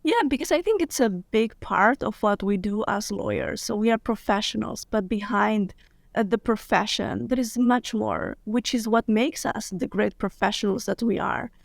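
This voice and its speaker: Gravelly-voiced woman lawyer guest, dry sound. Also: mid-range voice, normal speed, dry recording. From Morocco, grew up in Spain. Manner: upbeat, candid, laughs easily. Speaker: guest, international business lawyer. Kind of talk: casual interview conversation.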